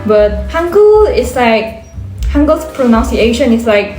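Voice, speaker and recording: A teenage Korean girl with a light, fairly high voice and a Korean accent, rambling through a casual vlog. She talks in English, her second language, stopping and restarting her sentences and filling them with "like". Her voice rings a little in an echoey room, with soft music in the background. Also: very animated, unhurried. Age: teens. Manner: chatty, rambling with many restarts and "like"s. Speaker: vlogger. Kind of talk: casual talk to camera.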